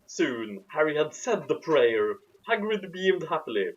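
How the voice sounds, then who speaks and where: A man's voice: a little high, sing-song, a little slow, breathy edge, muffled recording. A man doing a mocking dramatic reading with sarcastic, casual commentary and a theatrical manner. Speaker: reader and commentator.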